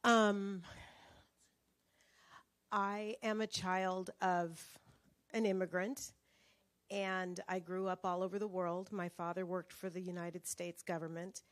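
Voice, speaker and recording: Middle-aged woman, unhurried, halting personal storyteller, holding a mic. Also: fairly low pitch, level tone. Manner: slow, reflective, halting storytelling. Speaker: book club participant.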